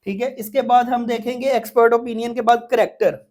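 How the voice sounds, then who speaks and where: A woman's voice: fairly high pitch, level tone, fairly quick, a little mellow. A woman with a Pakistani accent giving an informal lecture as an exam prep teacher, explaining step by step with frequent repetition and check-ins.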